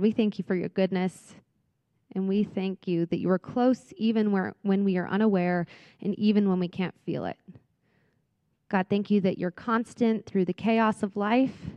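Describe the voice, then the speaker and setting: Woman, church speaker, earnest prayer, soft and intimate, very dry sound, a bit muffled. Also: mid-range voice, dry recording. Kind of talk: reflective sermon talk and spoken prayer.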